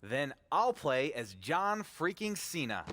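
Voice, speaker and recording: A man's voice: a little high, very animated, slow, a bit bright, in a large hall, heavily acted. An actor playing a defensive, sarcastic wrestling champion in a video game, a man with a wry, casual way of talking. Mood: exasperated. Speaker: wrestling champion and show co-host. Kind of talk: scripted video game cutscene acting.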